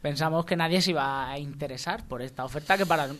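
A man with a bright, forward voice pitched a little high. He co-hosts a radio show, joking casually with an ironic edge. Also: fast. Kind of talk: casual comic banter.

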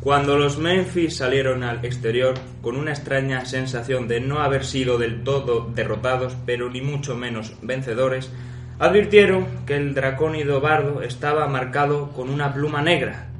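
A man's voice: mid-range voice, moderate pace, a little hiss or hum. A man narrating a fantasy radio drama as game master in a dramatic, scripted style. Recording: echoey room with music behind.

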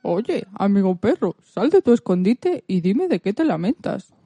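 A woman's voice: medium pitch, very animated, moderate pace, warm tone, husky, dry recording. A woman playing a friendly donkey in amateur radio theatre. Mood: friendly, urging.

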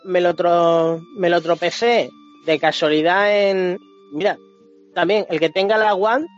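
A man with a Spain Spanish accent chatting casually and animatedly about video games, in slangy, sweary speech. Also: sits high. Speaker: podcast panelist. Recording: dull lo-fi audio, music behind.

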